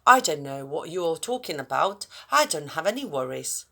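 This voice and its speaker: A woman's voice: low-pitched, very animated, moderate pace, creaky, sharp tone, heavily acted. A woman voicing a dismissive child sibling in a children's storybook, speaking in an offhand way.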